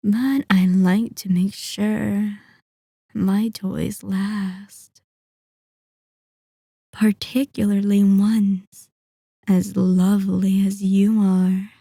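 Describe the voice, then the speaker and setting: A woman voicing a centuries-old, sadistic and possessive vampire in an intimate ASMR roleplay, speaking in a deliberate, taunting, commanding manner. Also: medium pitch, little pitch movement, gravelly, dark tone, breathy edge, dry recording, clean studio sound, full character voice. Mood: coaxing, seductive. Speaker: audio roleplay performer.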